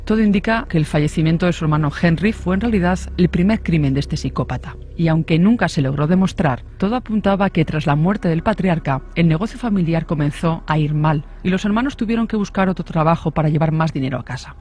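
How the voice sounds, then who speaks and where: A man's voice: a little high, quick pace, few pauses, darkish tone, soft background music. A man reading a scripted true-crime narration in the style of a suspense radio story.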